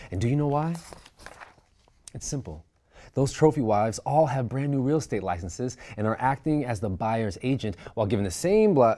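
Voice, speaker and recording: A man's voice: fairly low pitch, darkish tone, lightly acted. An actor at a script table read voicing an abrasive, foul-mouthed male boss realtor who barks insults at his employee. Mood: bossy. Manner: crude, domineering, barking insults.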